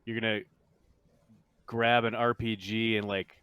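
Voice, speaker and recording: A man's voice: fairly low pitch, level tone, measured pace, clear, resonant tone, dry recording, dull audio. A man acting as game master, talking players through a tabletop roleplaying game in casual, stop-and-start phrasing.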